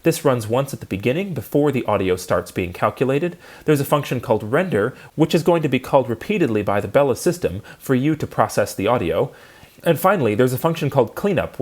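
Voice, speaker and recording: A man giving a prepared technical lecture, explaining clearly and methodically step by step. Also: mid-range voice.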